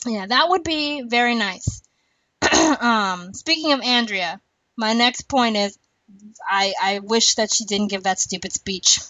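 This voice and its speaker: A woman hosting a casual podcast chat, talking fast and laughing often between points. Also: on the high side, dry recording. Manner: chatty, laughs often, animated asides. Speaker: podcast host. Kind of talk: casual chat about a TV show. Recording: dull lo-fi, dry.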